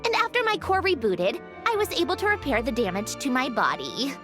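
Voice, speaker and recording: A woman voicing a young female automaton in a video game, speaking in a measured, explanatory, slightly sardonic way. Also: high voice, very animated. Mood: wry. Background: game soundtrack music.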